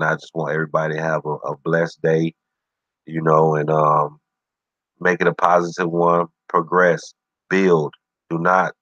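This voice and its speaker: Deep-voiced man rambling on a livestream. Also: a bit bright, no echo, dull audio. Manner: loose, rambling, full of "you know what I'm saying"; easygoing, encouraging. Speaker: livestream show host. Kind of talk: casual livestream talk.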